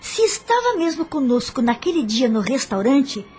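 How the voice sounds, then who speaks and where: A woman's voice: sits high, big pitch swings, normal speed, darkish tone, husky, faint music behind, full character voice. A woman playing an anxious, devoted young widow in a radio drama, speaking earnestly and tenderly. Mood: distressed.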